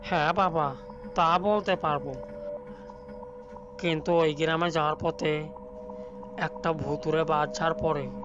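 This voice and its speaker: A man voicing a hungry old ghost with a grandfatherly, drawn-out way of talking in a cartoon folk tale. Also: on the high side, fairly even intonation, natural voice. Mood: obliging. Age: older. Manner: slow, drawn-out, addressing others as child. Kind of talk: Bengali animated folk-tale voice acting. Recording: muffled audio under music.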